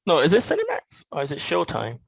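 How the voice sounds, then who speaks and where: Man, from Barbados, podcast co-host, casual chat, swears freely, animated, lively pitch, dry. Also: fast talker, gravelly, slightly rough, no room sound.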